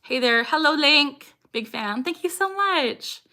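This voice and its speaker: A woman's voice: sits high, animated, a little slow, pushed and bright. A young woman chatting casually on a livestream and answering viewers' questions. She is upbeat and laughs often, and her sentences are broken by sudden vocal tics.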